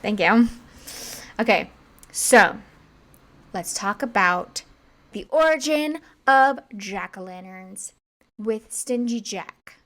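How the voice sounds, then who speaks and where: A woman's voice: medium pitch, expressive, slow, pauses often, fairly bright tone. A woman co-hosting a podcast, chatting casually and a bit rambling, slightly congested. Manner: chatty and rambling, many fillers. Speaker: podcast co-host.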